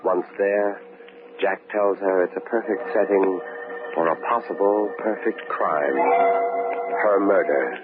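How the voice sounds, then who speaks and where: A man narrating a mystery recap in grave, measured vintage radio style on a tinny old recording. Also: deep voice, loud music behind the voice. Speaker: narrator, announcer.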